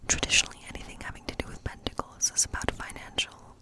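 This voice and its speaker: Whispering woman reading tarot as a witch. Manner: unhurried, musing, thinking aloud. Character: gentle fortune-telling witch. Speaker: ASMR role-play performer as a tarot-reading witch. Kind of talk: whispered ASMR tarot reading role play.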